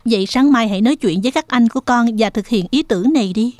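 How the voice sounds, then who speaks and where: A woman's voice: fairly high pitch, quick pace, darkish tone, no echo, clean studio sound, lightly acted. A woman voicing a caring, protective mother pig in a children's cartoon. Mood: reassuring.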